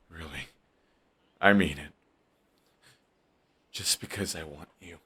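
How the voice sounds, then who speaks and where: A man voicing a husky brooding villain. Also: rumbling low, full character voice. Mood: hushed, flustered. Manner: intimate, shifts between hushed and heated. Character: brooding male villain, defensive and lovestruck. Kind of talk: audio roleplay monologue.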